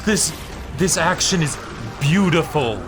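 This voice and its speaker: A man's voice: fairly high pitch, lively intonation, a little slow. A man giving a casual, awed live reaction to an anime episode in short exclamations. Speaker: reaction show co-host. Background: music from the episode being watched.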